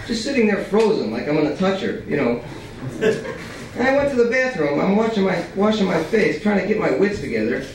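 A man's voice: high-pitched, sing-song, fairly quick, slight background noise. A young man giving a live reading of his writing in a colloquial, profane way. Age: young adult. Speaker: writer reading his work. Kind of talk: live reading of prose and poetry at a microphone. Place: small dim cafe, indoors. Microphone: stand microphone, picked up by far camera. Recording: echoey cafe, distant camcorder, crowd chatter. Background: audience chatter.

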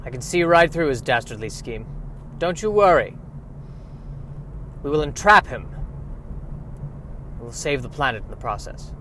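A man's voice: medium pitch, animated, normal speed, pauses often, bright, forceful tone. A man playing a pompous, naively confident scientist with formal, wordy speech in a comic sci-fi radio play. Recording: noisy recording.

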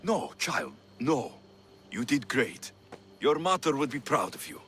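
A man's voice: medium pitch, very animated, measured pace, a bit bright, soft background music. A man playing a blunt yet protective mercenary hero in a video game, speaking in a firm, plain-spoken way. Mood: gentle. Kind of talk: video game cutscene dialogue.

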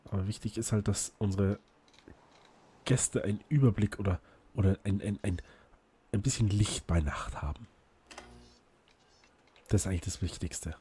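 A man who is a bit hoarse from illness, chatting casually and rambling as he hosts a let's play, with lots of fillers and restarts. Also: lowish voice, normal speed, pauses often, dark tone, slight background noise. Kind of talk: casual gameplay commentary.

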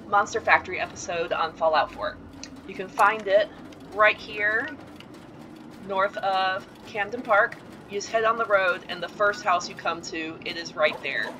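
A woman's voice: medium pitch, pushed and bright, a little hiss or hum, rough recording. A woman giving a rambling, casual voice-over tour of a house built inside a video game, full of ums and side remarks. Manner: chatty and rambling, with frequent ums and asides.

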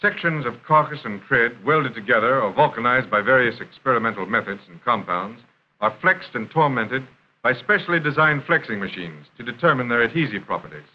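A man's voice: medium pitch, lively intonation. Muffled 1930s American film narrator, male. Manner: formal, measured announcer delivery. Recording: dull, noisy 1930s film soundtrack.

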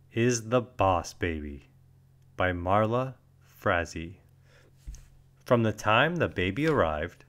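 Slow, expressive male storybook narrator. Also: fairly low pitch. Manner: deliberate, dramatic emphasis. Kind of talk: expressive children's read-aloud narration.

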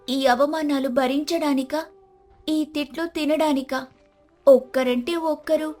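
A woman acts a timid, sorrowful orphan girl in a moral story. Her voice is high and plaintive, pleading as an outcast would, with music underneath. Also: a little mellow.

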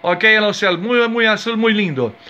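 A man with a high, forceful voice and a Brazilian Portuguese accent chats as a cordial radio amateur. He gives call signs and signal details in a casual way. The recording is dull and lo-fi. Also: normal speed. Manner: friendly, cordial.

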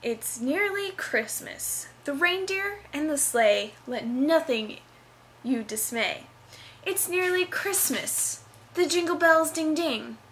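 A woman putting on a high, theatrical Christmas narrator. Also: lively intonation, a bit bright, a little hiss or hum, full character voice, poor audio. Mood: grandly festive. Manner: over-dramatic, deliberate declaiming. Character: earnest, theatrical narrator reciting Christmas show lyrics. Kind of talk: dramatic reading.

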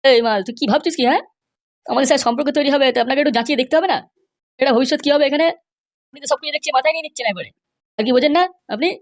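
A man voicing a cheeky, nagging middle-aged father in a comic cartoon, brash and mocking, with a pitched-up voice. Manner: brash, mocking, fast-talking.

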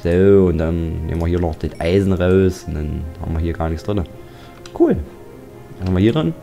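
Deep, chatty man over game music. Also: animated, normal speed, creaky, mellow. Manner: chatty, joking, grumbles at mobs. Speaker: Let's Play commentator. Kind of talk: casual gameplay commentary.

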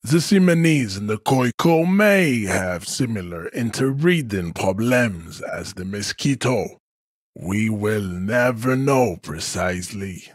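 A haughty, hulking supremacist from a video game. His voice is low and husky, recorded very dry. He declaims solemnly and pompously, as if above everyone. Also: animated, slow pace, dry recording. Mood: cold, haughty. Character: haughty, hulking supremacist strongman, adult man. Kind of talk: scripted game character monologue.

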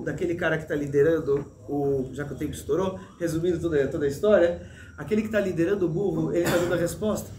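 A man in his thirties teaching a Torah lesson in an animated way, gesturing and restarting often, recorded indoors on a desk mic. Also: high voice, roomy sound, a little hiss or hum. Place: small room with a plain wall, indoors. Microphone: desk mic in front, medium framing.

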